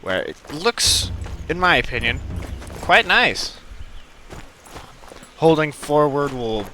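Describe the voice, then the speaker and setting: A man's voice: a little high, sing-song, slow pace, pushed and bright, a little hiss or hum. A man hosting a let's play with casual, hesitant, rambling gameplay commentary.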